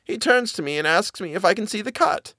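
Brief: male reader doing a jittery middle-aged housekeeper, high and halting, dry close sound. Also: expressive, sharp tone, husky, dry recording, heavily acted. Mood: fearful. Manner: halting, stammering, plain servant speech. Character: frightened old nanny, a middle-aged housekeeper woman.

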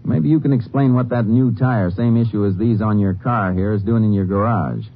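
An actor playing a calm, matter-of-fact lawman who also narrates, in a 1950s radio drama. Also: on the low side, normal speed, lightly acted. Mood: steady. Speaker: Texas Ranger, narrator. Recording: phone-like old radio broadcast with clear hiss.

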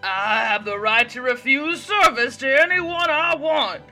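A woman doing a prim young waitress, light and high. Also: slow pace, pushed and bright, faint music behind, full character voice. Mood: indignant.

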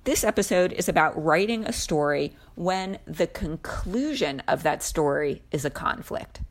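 A woman's voice: sits low, expressive, unhurried, soft background music. A woman co-hosting a podcast, reading a scripted introduction. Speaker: podcast co-host.

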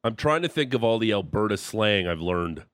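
A man's voice: lowish voice, expressive, normal speed, fairly bright tone, no echo. A man with an Ontario accent hosting a sports radio show, chatting casually and poking fun at himself. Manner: chatty, self-mocking. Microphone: studio mic.

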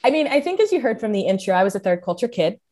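A woman's voice: mid-range voice, sing-song, rapid-fire, darkish tone. American woman guest, easygoing, self-deprecating, laughs between lines. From United States and Cameroon. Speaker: podcast guest, career counselor. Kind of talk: casual interview conversation.

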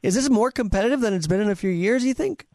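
High-voiced young American man hosting. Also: expressive, fast, a little mellow, dry recording, clean studio sound. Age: 20s. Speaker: sports interview host. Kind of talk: casual interview questioning.

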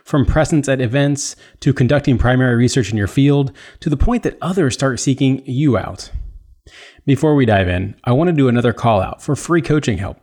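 A man hosts a sales podcast, his voice sitting in an ordinary middle pitch. He reads his intro and then chats loosely with the guest, dropping in casual fillers. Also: lively intonation, fairly quick, darkish tone. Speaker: podcast host.

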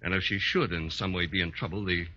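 Shifty formal brother in 40s radio drama, low very tense sharp voice, measured, old muffled recording. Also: male voice, fairly even intonation. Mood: distrustful. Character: self-interested, distrustful brother of a rich man's wife. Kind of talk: 1940s radio drama acting. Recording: dull vintage 1940s radio recording.

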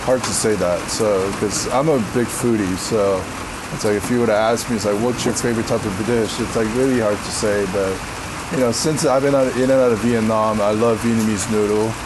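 A man from Korea with a deep voice, answering interview questions in a rambling, casual way with many fillers. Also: fairly even intonation, fairly quick, noisy background. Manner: rambling, filler-heavy, laughs easily. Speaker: interview guest.

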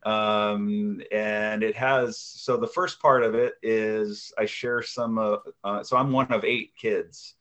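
A man's voice: on the low side, fairly even intonation, slow, hardly any pauses, a bit bright. A man in his fifties chatting as an interview guest, humble and self-deprecating, with many fillers and restarts. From United States. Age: 50s. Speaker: guest, author and video creator. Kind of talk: conversational interview answers.

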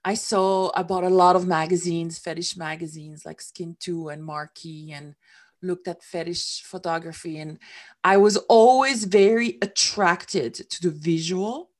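A woman's voice: lowish voice, fairly even intonation, unhurried. Raised middle-aged woman, reflective. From Switzerland. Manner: reflective, with some non-native grammar slips. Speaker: interview guest. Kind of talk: candid interview talk.

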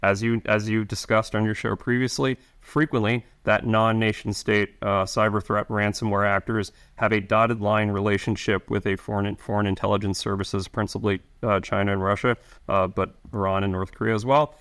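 Man, middle-aged, low voice, halting speech, frequent restarts, intelligence officer guest. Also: flat delivery, fairly bright tone, clear, resonant tone. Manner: halting, many restarts and fillers. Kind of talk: interview answers.

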